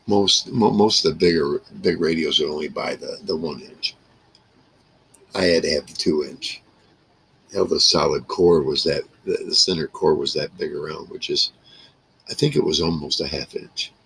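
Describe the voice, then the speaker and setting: A man in his sixties with a rough, gravelly voice, rambling casually to camera in halting phrases. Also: very deep voice, a bit bright, rough recording. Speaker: vlogger reminiscing about a radio hobby. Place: small wood-paneled room indoors.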